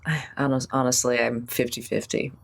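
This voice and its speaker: Female tabletop player, low-pitched, casual improvised chat. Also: flat delivery, moderate pace, vocal fry, breathy edge.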